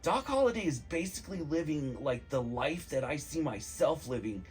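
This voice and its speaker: Excitable high-pitched man rambling with animated swings. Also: normal speed, faint music behind. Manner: slangy, profane, excitable, meandering storytelling. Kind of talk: rambling casual comedic movie recap voicemail.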